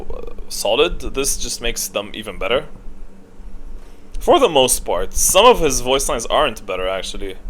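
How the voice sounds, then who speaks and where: A man's voice: mid-range voice, fairly bright tone. A man giving casual, off-the-cuff gaming commentary as a video creator, blunt and opinionated in slangy wording. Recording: noticeable background noise.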